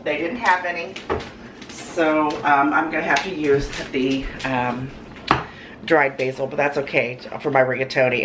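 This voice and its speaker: Hoarse, low-voiced woman. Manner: rambling, chatty, folksy with many ums. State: hoarse from a cold. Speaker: cooking vlogger. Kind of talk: casual chat to camera. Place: home kitchen. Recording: noisy home recording.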